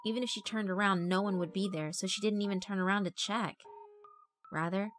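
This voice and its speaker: A woman giving a measured, expressive, scripted narration of a horror story. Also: mid-range voice. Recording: background music under the voice.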